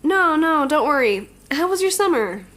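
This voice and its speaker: A woman's voice: high-pitched, very animated, moderate pace, a bit bright. A young woman playing a playful, conscience-stricken young supervillain in an audio drama, talking in a casual, teasing way. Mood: friendly. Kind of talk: naturalistic audio drama acting.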